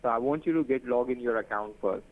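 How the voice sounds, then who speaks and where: A man's voice: mid-range voice, fast talker. A man running a scam call, insistent and repetitive, with broken phrasing. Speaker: phone scammer. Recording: tinny phone line.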